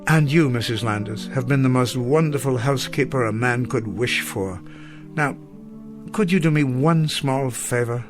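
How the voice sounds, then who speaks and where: An elderly schoolmaster acted by a man speaks in a radio play with a mid-pitched voice. He is courtly and bookish, wistful and gently self-deprecating, with some music behind him. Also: moderate pace, lightly acted. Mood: melancholy, resigned. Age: older. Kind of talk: radio drama acting.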